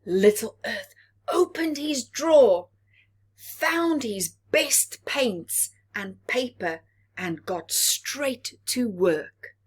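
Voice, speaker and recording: Female children's storyteller: slow, animated, dramatic delivery for little ones, slightly breathy tone. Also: on the high side. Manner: dramatic, deliberate, addressing young listeners. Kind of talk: expressive read-aloud picture-book narration with whispered asides.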